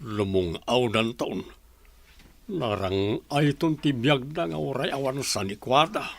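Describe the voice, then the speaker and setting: A man's voice: medium pitch, expressive, a little slow, vocal fry. A man playing a plain-spoken village man in a radio drama. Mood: troubled.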